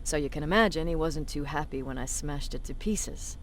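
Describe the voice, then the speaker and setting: A woman's voice: low voice, a little fast, slight background noise, natural voice. A woman playing a battle-hardened resistance commander in a video game, with a blunt, authoritative manner. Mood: wry, reminiscing.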